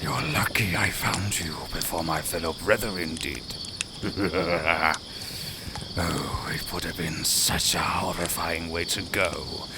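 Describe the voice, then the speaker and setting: A man's voice: low voice, takes it slowly, heavy background noise. A man voicing a haughty, sardonic lone werewolf in an audio roleplay, speaking to the listener in formal, condescending, theatrical phrases. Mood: mocking, menacing. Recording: night forest ambience with crickets, clearly audible. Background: outdoor forest sounds, crickets.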